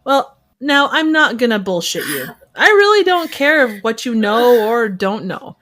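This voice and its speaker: Woman playing a high-voiced, deadpan sadistic gangster. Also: measured pace. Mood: menacing. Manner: deadpan, taunting. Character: cool, sadistic female psychopathic gangster who enjoys torture. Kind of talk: audio drama acting.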